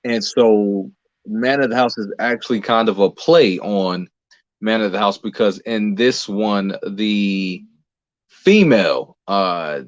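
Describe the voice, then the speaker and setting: A man answering as an interview guest in a halting, explanatory, conversational way, pausing between phrases. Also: fairly low pitch, no echo, muffled recording. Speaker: interview guest, playwright and lead actor.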